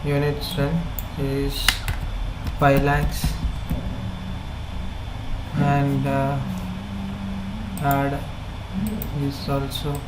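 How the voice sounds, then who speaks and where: A man with an Indian accent giving a halting, step-by-step software tutorial as an instructor, with repetitive instructions. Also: mid-range voice, completely flat delivery. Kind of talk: step-by-step screen tutorial narration. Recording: noisy, echoey room recording.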